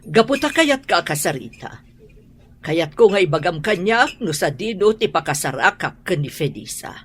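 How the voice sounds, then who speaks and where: An actress playing a stern, disapproving older mother in an Ilocano radio drama, with an Ilocano accent and a husky voice. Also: on the low side, sing-song, normal speed, a little hiss or hum. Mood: cold, insistent.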